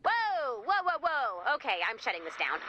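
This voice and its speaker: A woman playing a perky, excitable robot who gushes like a nerdy music superfan in a video game. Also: sits high, normal speed, lo-fi sound, slight background noise. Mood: upbeat. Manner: gushing, quippy, theatrical. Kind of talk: video game character dialogue.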